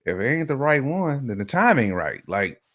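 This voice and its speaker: A man's voice: medium pitch, sing-song, normal speed, muffled recording. A man giving his opinions in casual conversation as a podcast guest, emphatic and often repeating phrases for emphasis.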